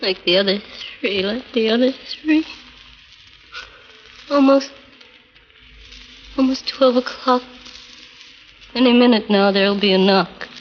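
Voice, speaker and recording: A woman's voice: a little high, slow pace, pauses often. An actress playing a frightened, frantic married woman in peril, in breathless 1940s radio melodrama style. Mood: terrified, muttering. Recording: muffled, noisy 1940s radio recording.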